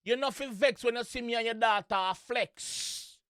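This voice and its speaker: A Jamaican host reacting to music in a casual, excitable, playful way, repeating phrases and singing along. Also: mid-range voice, moderate pace, smooth, no echo, studio quality. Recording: music playing in the background.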